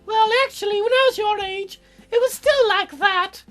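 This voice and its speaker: A woman's voice: very high-pitched, moderate pace, a bit bright, soft background music, voice pushed up. Woman doing a chirpy frank mom. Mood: cheerful.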